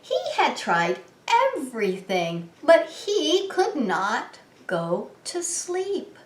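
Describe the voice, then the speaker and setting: A woman's voice: high voice, very animated, drawn-out words. A woman hosting a preschool story time, talking to young children in a sing-song way with simple questions. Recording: roomy, echoey room sound.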